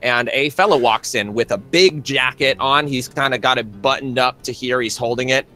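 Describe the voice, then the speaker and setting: A man's voice: medium pitch, moderate pace, pushed and bright. A man improvising narration as game master of a tabletop roleplay, in a casual, descriptive way.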